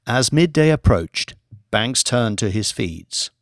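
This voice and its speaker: A man reading a scripted dramatic audiobook narration in measured, short declarative phrases. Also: mid-range voice, expressive, no room sound, clean studio sound.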